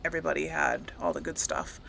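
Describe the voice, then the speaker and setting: Bright-voiced woman chatting through a game. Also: extremely low pitch, big pitch swings, a little fast, vocal fry, low-quality recording. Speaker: gaming host. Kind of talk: casual chatty game playthrough commentary.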